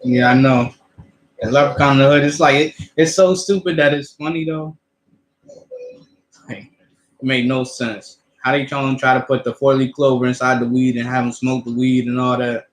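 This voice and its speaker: A young man in his twenties talks loosely and rambles, tripping over his words and restarting sentences. His voice sits at an ordinary pitch, and the room around him is echoey. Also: steady tone, moderate pace. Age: 20s. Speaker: co-host. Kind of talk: casual chat.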